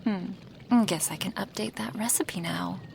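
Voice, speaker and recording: A woman voicing an affectionate female dragon who brews potions, in a soft, intimate ASMR roleplay with breathy half-whispers and sighs. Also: mid-range voice, a little slow, a little hiss or hum. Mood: relieved. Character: affectionate female dragon, a potion maker tending her human guest.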